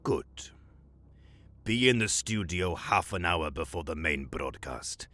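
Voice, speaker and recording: A man acting cold senior bureaucrat, deep voice, slow measured delivery, pompous, condescending, audio drama. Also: animated, pushed and bright, exaggerated voice. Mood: coldly threatening. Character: stern, condescending male broadcast official, cold bureaucratic superior.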